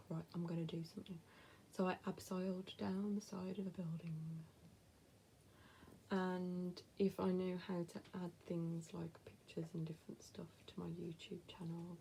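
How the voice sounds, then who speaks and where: Woman, West Midlands accent, 30s, low gravelly voice, casual vlog chat, faint background noise. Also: mellow, poor audio. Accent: West Midlands English. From England. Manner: chatty, blunt, drops to whispered asides. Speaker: vlogger answering viewer questions.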